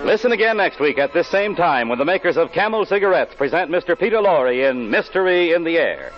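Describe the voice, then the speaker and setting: A male American announcer in vintage 1940s radio style, reading very quickly in a fairly high voice. The sound is muffled, with music clearly behind him. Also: lively intonation.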